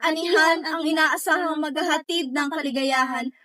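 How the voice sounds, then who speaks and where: Anguished, high-voiced farm beast played by a woman. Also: fairly even intonation, normal speed, bright, forceful tone, dry recording, studio quality. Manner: anguished, dramatic declamation. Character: overworked, aching farm beast of burden. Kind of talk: reader's theatre verse recital.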